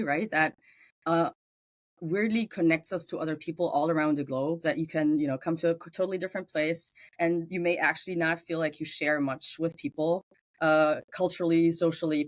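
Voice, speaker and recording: A guest in an interview who grew up in Germany and speaks English with a German accent, thoughtful and searching for words, with frequent pauses and fillers. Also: female voice, low voice, no room sound. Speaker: guest theologian. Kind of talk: interview conversation.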